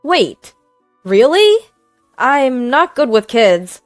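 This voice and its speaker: A woman's voice: sits high, sing-song, extremely slow, no room sound, clean studio sound, pitched above the performer's own voice, full character voice. A woman acts out a callous husband in a narrated family drama. He is brusque and dismissive, shrugging off responsibility, over faint music. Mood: brusque, cold. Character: callous, irresponsible husband, adult man. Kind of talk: acted dialogue in narrated story.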